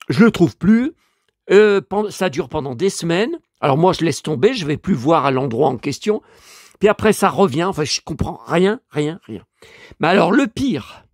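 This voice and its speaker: Man vlogger with a cold, rambling and exasperated, very lively intonation, dry close sound. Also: fairly high pitch, dry recording. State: stuffy head cold. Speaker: vlogger and collector. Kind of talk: casual monologue vlog.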